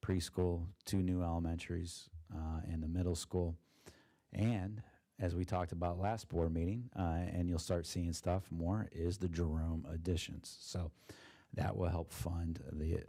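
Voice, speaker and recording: Low monotone man presenting a budget. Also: no echo. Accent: Ohio. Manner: monotone, many fillers and restarts. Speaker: school district treasurer. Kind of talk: board meeting budget presentation. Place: meeting room.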